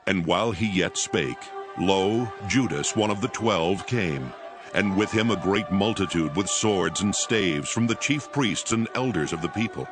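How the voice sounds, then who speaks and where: A man with a fairly low voice narrates a dramatized scripture. His delivery is solemn and scripted, with music playing clearly behind him. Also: lively intonation, measured pace. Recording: background music under the voice.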